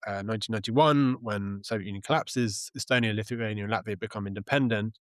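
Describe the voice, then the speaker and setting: Low-voiced man, thoughtful and halting. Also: dry recording, studio quality. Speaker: interview guest, journalist and author. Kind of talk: conversational interview answers.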